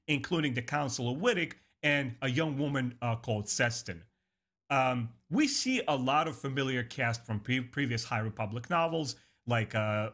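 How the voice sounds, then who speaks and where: A man's voice: mid-range voice, a bit bright. Man hosting a casual book review. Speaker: host of a book review show. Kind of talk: casual video review with plot summary.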